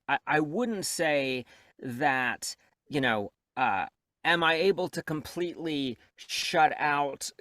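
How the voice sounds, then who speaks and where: A man, an audiobook narrator and coach, talking as a guest in a candid interview. He is reflective and self-deprecating, and he speeds up when he gets animated. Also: medium pitch, no echo, studio quality.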